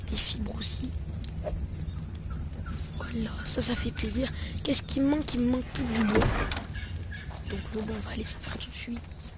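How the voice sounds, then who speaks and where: A man's voice: very high voice, fairly even intonation, normal speed, pauses often. A male gamer talking viewers through his gameplay, often muttering half to himself between remarks. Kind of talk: let's play commentary. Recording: noisy home recording.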